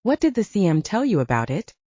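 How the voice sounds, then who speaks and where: A woman voicing a blunt, brisk female investigator who coaches like a mentor in an audiobook. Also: mid-range voice, big pitch swings, mellow, breathy edge, dry recording. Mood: firm, coaching. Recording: dull lo-fi, very dry.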